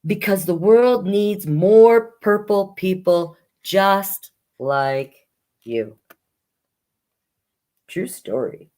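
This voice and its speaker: A woman hosting a children's storytime, reading picture books aloud expressively and adding chatty asides. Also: mid-range voice. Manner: expressive, unhurried, comments on the story as she reads.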